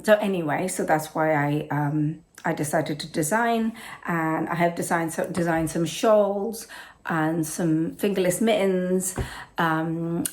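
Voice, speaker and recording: British woman, hoarse, lowish voice, casual rambling, fillers and restarts, home camera setup. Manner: rambling, full of fillers and self-corrections. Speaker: knitting and travel channel host. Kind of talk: casual channel introduction. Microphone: non-professional camera setup.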